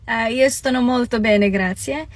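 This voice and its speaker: Chatty raised woman, slightly high. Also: normal speed, no echo. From Switzerland. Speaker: vlogger introducing herself. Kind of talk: casual self-introduction to camera.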